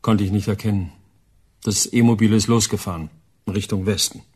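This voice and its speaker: A man playing a shaken young child's father in a radio play, giving short, plain statements in a husky voice. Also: on the low side, steady tone, normal speed, darkish tone.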